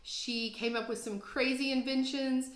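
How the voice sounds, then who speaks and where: A woman's voice: high voice, steady tone, unhurried, roomy sound. A woman who teaches second grade, reading and explaining things to children in an encouraging, self-deprecating way. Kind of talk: read-aloud storytelling and casual explaining for children.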